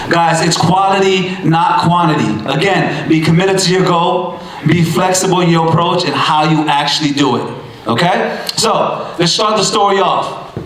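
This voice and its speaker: A man in his thirties giving a motivational stage speech, emphatic and punchy, with lots of repetition and bursts of shouting. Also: high voice, a little hiss or hum. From Bangladesh. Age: 30s. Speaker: motivational speaker. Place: event stage in a large hall. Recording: very echoey hall.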